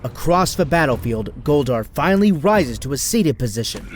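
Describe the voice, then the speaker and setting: A man's voice: on the high side, big pitch swings, moderate pace, soft background music. A man giving dramatic scripted narration as the narrator of an action audio drama.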